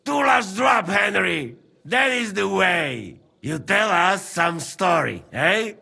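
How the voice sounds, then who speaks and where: An actor playing a loud, exuberant foreign mercenary with an Eastern European accent and broken English in a video game. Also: male voice, high voice, animated, takes it slowly, creaky, breathy edge, full character voice. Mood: merry, drunken. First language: not English. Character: boisterous foreign mercenary, hearty drinking companion in broken English. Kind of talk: video game cutscene acting.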